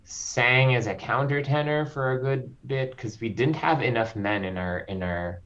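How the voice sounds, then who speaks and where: A man from the Philippines, a composer guest speaking slowly and reflectively, with frequent ums. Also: mid-range voice, fairly bright tone. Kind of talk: interview conversation. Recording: slightly dull lo-fi audio.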